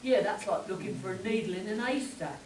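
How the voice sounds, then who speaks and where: A woman's voice: mid-range voice, animated, brisk, darkish tone, slight background noise, close to an everyday voice. A woman playing a curious, wide-eyed adventurer in a spoof radio play performed live. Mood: wondering. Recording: echoey room, distant mic.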